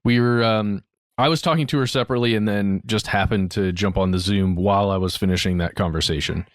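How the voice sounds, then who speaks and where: A man's voice: on the low side, quick pace, no echo, clean studio sound. A man co-hosting a podcast in casual chat, who laughs and cackles often. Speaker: podcast co-host.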